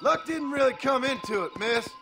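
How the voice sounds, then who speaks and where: A gruff, gravel-throated cowboy outlaw with an American Western accent. He is laconic, dry and polite, as in a Western game, over loud music. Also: very high voice, lively intonation, normal speed, exaggerated voice. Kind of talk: video game Western voice acting.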